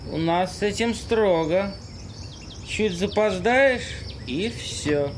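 Homeless drunk, played by a male amateur: mock-grand, shameless, unhurried, audio-play style, clearly noisy outdoor backdrop with insects. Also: sits high. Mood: tipsy, assured. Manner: mock-grand, rambling tipsy delivery with halting words. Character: drunken homeless man, pompous, cheerfully shameless drinker.

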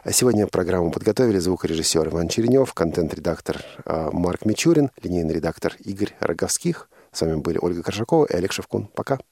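A man with a gravelly, husky voice, hosting a radio program in a conversational style. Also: deep voice, expressive, quick pace, hardly any pauses, mellow.

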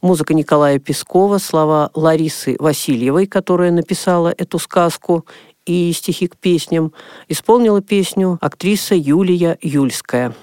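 Higher-voiced man presenting on radio, dry sound. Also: moderate pace, darkish tone, smooth, no room sound. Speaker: radio music programme host. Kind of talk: radio presenting, introducing old songs.

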